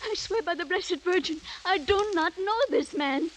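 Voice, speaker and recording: A woman's voice: very high voice, moderate pace, a little hiss or hum, low-quality recording. An actress playing a proud, anguished young noblewoman in a mid-century radio drama, earnest and melodramatic. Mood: pleading.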